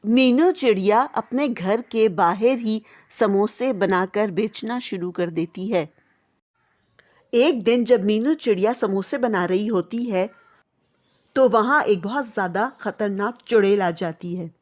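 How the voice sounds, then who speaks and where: Woman, medium pitch, scripted children's story narration, narrator role. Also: slow pace, darkish tone.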